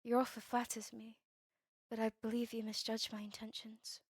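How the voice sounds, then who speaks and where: A woman playing a guarded vampire heir in a scripted ASMR roleplay, measured, formal and cool. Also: a little high, level tone, breathy edge, dry recording, clean studio sound.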